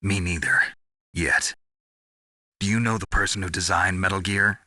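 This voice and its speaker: A world-weary special forces veteran from a video game. His voice is deep and gravelly with a hard, very sharp edge, recorded close and dry. He speaks in terse, deadpan phrases. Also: normal speed, no room sound, full character voice. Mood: grim, businesslike. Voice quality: gravelly, husky. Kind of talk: dramatic video game voice acting.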